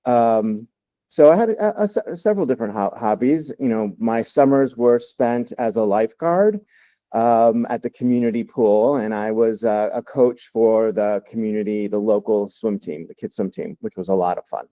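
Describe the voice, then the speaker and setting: Mellow, muffled man telling his story. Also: fairly low pitch, normal speed, no room sound. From Texas, United States. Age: 50s. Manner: relaxed, reflective, many fillers. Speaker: guest. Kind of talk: interview storytelling.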